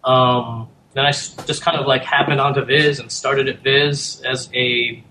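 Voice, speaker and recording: Man, from the US, editor-in-chief guest, mid pitch, relaxed modest answers, faint ambience. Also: unhurried, slight background noise, low-quality recording. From United States. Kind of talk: casual interview.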